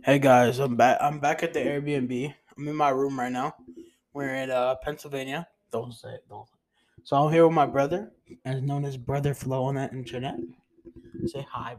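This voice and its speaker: A man's voice: mid-range voice, steady tone, moderate pace. A man with a New York and New Jersey accent, rambling casually in slangy vlog chat from his room. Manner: slangy, rambling, says bro a lot. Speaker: vlogger. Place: bedroom in a rented cabin.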